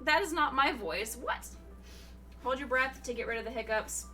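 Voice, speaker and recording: A woman's voice: normal speed, sharp tone, recorded from a distance. A young woman streaming from home, chatting casually and playfully with chat while fighting off hiccups. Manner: chatty and playful, swings into high surprised exclamations. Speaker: Twitch streamer. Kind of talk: casual live-stream chat, reading chat messages. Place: home room. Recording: voice a little off-mic, music behind.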